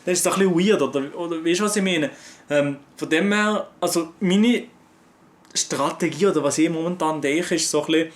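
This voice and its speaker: A man's voice: on the high side, moderate pace. A young man from Switzerland with a Swiss accent, chatting casually with viewers and answering their comments in loose, slangy talk full of fillers. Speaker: YouTuber.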